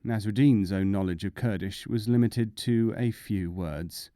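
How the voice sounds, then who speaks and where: A man's voice: lowish voice, measured pace, warm tone, dry recording, studio quality. A man reading as an audiobook narrator, in scripted storybook narration.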